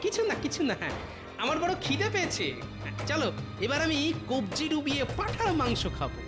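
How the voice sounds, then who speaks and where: High voiced cartoon jester, witty and sly, theatrical pleading, music behind. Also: male voice, very animated, normal speed. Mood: sly, cheerful. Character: clever witty court jester, humble but sly man. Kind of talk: Bengali comedy cartoon dubbing.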